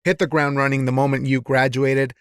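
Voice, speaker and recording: A man's voice: mid-range voice, little pitch movement, brisk, clear, resonant tone, dry recording, studio quality. A man hosting a casual podcast interview, encouraging and affirming as he responds to his guest. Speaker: podcast host.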